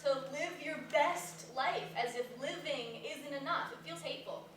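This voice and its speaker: A woman's voice: high voice, moderate pace, lightly acted. An actress in a staged play reading, playing an inquisitive, blunt and self-doubting young woman in naturalistic dialogue. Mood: reflective, wry. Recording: roomy, distant, noisy live stage recording.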